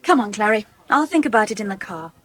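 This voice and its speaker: A woman's voice: medium pitch, animated, fast talker, a bit bright. A woman playing a sensible, somewhat weary young student with an English accent in a 1980s radio soap. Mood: doubtful. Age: young adult.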